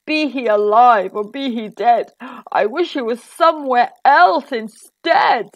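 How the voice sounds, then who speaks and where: A woman voicing a huge, grumpy but secretly kindly male giant in a children's storybook, loud and declamatory. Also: a little high, slow pace, no room sound, highs cut off. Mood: roaring, menacing.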